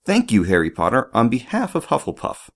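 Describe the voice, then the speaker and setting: A man voicing a formal, upright schoolboy who speaks properly and shouts in a high voice when riled. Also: wildly swooping pitch, a little fast. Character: formal, upright schoolboy loyal to his house.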